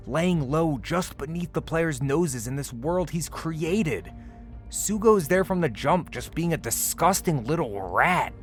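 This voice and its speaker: A man gives an opinionated, scripted review in the style of a video essay, dropping in casual asides. His delivery swings widely in pitch and sounds exasperated and sarcastic, leaning on key words for emphasis. Soft music plays behind his voice. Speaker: anime reviewer.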